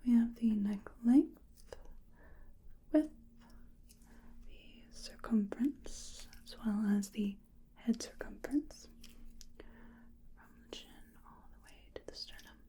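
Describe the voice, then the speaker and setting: A woman whispering gently and slowly in an ASMR roleplay, calmly listing facial measurements as she takes them. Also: on the high side, poor audio. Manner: slow, gentle, soft-spoken whispering. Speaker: ASMR artist.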